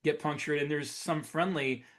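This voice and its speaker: A man's voice: a little high, normal speed, fairly bright tone. A man co-hosting a podcast, talking casually as a science fiction writer in an unscripted discussion. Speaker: podcast co-host and military sci-fi writer.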